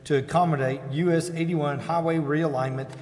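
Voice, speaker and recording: A man's voice: a little high, steady tone, moderate pace, darkish tone. An American man chairing a formal meeting, reading agenda items and procedure in an echoing chamber. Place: indoor council chamber.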